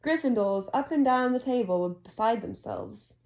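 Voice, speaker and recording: A woman reading a storybook aloud to her child, moving along briskly and gently coaxing between passages. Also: fairly high pitch, lively intonation.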